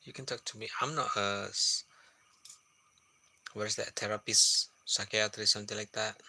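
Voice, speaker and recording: A man chatting softly on a late-night livestream at home, often searching for his words. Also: on the low side, steady tone, normal speed. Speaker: live streamer. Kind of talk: casual late-night livestream chat with viewers.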